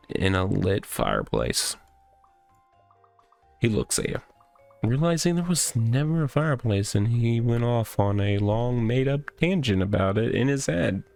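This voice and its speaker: A man voicing a deadpan, mock-solemn storyteller who narrates absurd inner monologue in a video game. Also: lowish voice, creaky.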